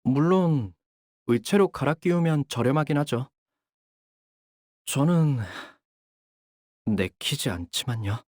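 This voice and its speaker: A young male gang soldier from a video game, dutiful and formal with a cold threat underneath. His pitch moves widely between low restraint and high peaks. The sound is dry and close, with no room on it. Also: lively intonation, takes it slowly, pauses often, a little mellow, dry recording. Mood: polite, measured. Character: young male syndicate soldier, dutiful, polite, coldly menacing. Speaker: game character voice actor. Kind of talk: acted character voice lines.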